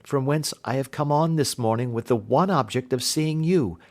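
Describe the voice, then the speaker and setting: A troubled young gentlewoman of a Victorian storybook , voiced by a man reading aloud. She speaks earnestly and formally, carefully laying out her account. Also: medium pitch, normal speed, close to an everyday voice. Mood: anxious. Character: Helen, distressed young gentlewoman recounting her sister's death.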